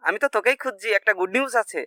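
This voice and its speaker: A man voicing an anxious young bridegroom-to-be with a hurried, nagging manner in a comedy cartoon. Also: high-pitched, bright, forceful tone, no room sound, studio quality. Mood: excited, bossy. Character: anxious young bridegroom-to-be, scolding his foolish friend.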